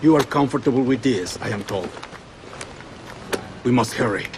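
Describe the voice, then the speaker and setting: A calm, resolute rescuer , acted by a man, speaks formally at a middling pitch. His measured lines come from a video game cutscene with clear ambient noise. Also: close to an everyday voice. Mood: calm, urgent resolve. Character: calm, grave male rescuer, courteous and resolute. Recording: noisy ambience behind.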